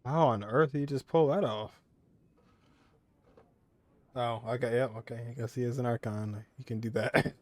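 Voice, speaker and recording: A man's voice: mid-range voice, normal speed, pauses often. A man giving casual commentary as he plays a video game. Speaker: gaming streamer. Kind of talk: casual let's play commentary.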